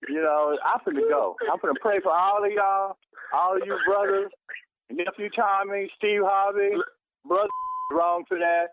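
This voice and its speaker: A man with a fairly high voice argues heatedly down a thin phone line. He is indignant and emphatic, repeating phrases, and the sound is dry. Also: steady tone, normal speed, dry recording. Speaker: church member receiving a prank call. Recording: tinny telephone line.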